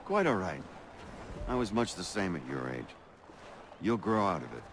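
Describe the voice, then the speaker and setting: A man's voice: low-pitched, very animated, moderate pace, vocal fry, a bit bright, slight background noise, exaggerated voice. A man voicing a worldly, pragmatic older rebel mentor in a video game, with a wry, instructive manner. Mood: wry, easy.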